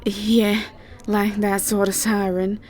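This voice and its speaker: A woman acts out a young siren college student in an audio drama, sweet and ditzy, with a Southern American accent. She talks slowly at a medium pitch, hesitating and stumbling over her words in flustered, airy chatter. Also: little pitch movement, a little mellow, natural voice. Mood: sweet, friendly. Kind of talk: roleplay audio drama acting.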